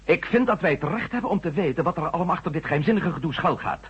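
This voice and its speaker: An actor playing a sceptical, persistent former astronaut turned reporter in a radio drama, polite but challenging. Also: male voice, fairly high pitch, animated, quick pace, husky, dull audio. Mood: insistent, challenging.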